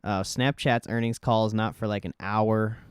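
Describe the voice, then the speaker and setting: A man's voice: fairly low pitch, steady tone, normal speed, clear, resonant tone, dry recording, studio quality. A man hosting a livestream, giving casual market commentary in informal, slangy, sweary speech. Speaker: livestream host.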